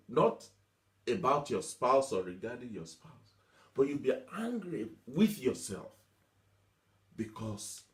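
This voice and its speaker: A man preaching a motivational teaching sermon, emphatic, repeating himself and asking rhetorical questions. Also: fairly low pitch. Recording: echoey room.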